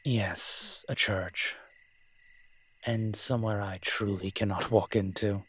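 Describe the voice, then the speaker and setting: A man playing a proud, flirtatious incubus demon professor in an intimate audio roleplay, husky and teasing, with hesitant, drawn-out pauses. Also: on the low side, fairly even intonation, a bit bright, exaggerated voice. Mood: hesitant, uneasy.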